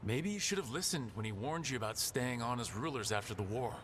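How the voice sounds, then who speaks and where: A man's voice: mid-range voice, lively intonation, brisk, fairly bright tone, lightly acted. A man playing a determined, heroic protector in a video game, speaking directly and insistently as he pleads a case. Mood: firm, frank. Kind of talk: dramatic video game acting.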